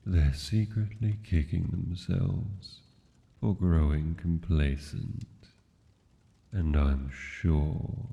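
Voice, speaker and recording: A tender, possessive dragon king, acted by a man, speaks in a very low, extremely slow voice. His manner is courtly and intimate, as in a fantasy roleplay, and the muffled sound hangs in a long hall-like reverb. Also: gravelly, warm tone, exaggerated voice. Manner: formal, unhurried, courtly endearments.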